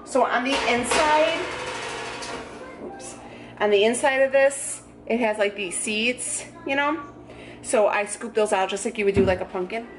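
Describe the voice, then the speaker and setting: A woman's voice: a little high, a little slow. Chatty Midwestern woman in a kitchen. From United States. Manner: chatty, filler-heavy, self-joking. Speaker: vlogger. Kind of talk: casual cook-along vlog. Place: home kitchen, indoors. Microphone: camera mic, moving about the room. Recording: echoey kitchen with noise.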